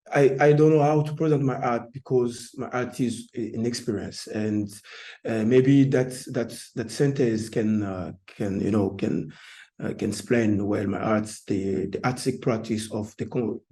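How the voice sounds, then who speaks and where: A man from Congo with a Congolese French accent, an artist presenting in an informal webinar, speaking haltingly and searching for words in imperfect English. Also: mid-range voice, dark tone. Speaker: artist presenting their work.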